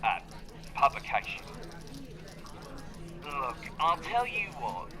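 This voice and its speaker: A man's voice: medium pitch, sing-song, over music. A man playing a cocky, flirtatious magazine rival with a smug, swaggering manner in an audio roleplay. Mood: smug flirting. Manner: smug, swaggering, drawn-out delivery. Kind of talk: scripted audio roleplay acting.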